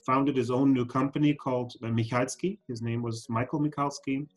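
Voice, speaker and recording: A man's voice: medium pitch, fairly even intonation, normal speed, faint music behind. Hesitant Scottish-born man in his forties. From Scotland. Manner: hesitant, many ums and restarts. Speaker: guest, fashion council CEO. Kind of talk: podcast interview.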